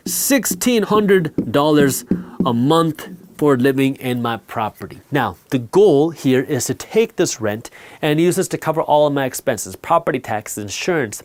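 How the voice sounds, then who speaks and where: Blunt, emphatic man, fairly high voice. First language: Punjabi. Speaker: finance educator and host. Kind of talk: explainer talk to camera.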